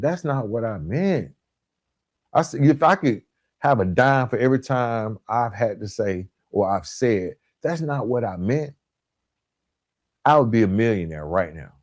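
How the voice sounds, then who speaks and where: Low-voiced man giving an emphatic motivational talk. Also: no room sound, clean studio sound. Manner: emphatic, instructional, with deliberate pauses and stressed key words. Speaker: podcast host. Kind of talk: motivational self-help monologue.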